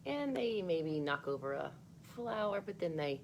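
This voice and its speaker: A woman reading a picture book aloud for children, expressive, with chatty asides spoken straight to young listeners. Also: lowish voice, measured pace, gravelly, a little hiss or hum.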